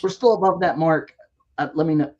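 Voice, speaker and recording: A man hosting a casual toy-collecting livestream, losing his voice a bit, reading out member shout-outs with jokes. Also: a little high, brisk, highs cut off. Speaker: livestream host.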